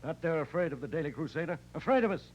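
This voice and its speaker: A pompous newspaper publisher played by a man, blustering and self-important. The voice is high and swoops about as it hammers each phrase twice. It comes through a muffled, noisy old radio recording. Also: lively intonation, very fast, natural voice. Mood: pompous, indignant. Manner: pompous, emphatically repeats himself. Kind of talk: 1940s radio drama acting.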